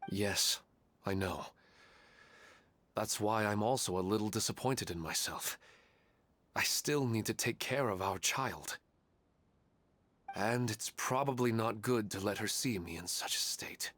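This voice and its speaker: Grieving, hesitant widower. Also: male voice, on the low side, unhurried, pauses often, a bit bright. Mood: sorrowful. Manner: sorrowful, hesitant, subdued.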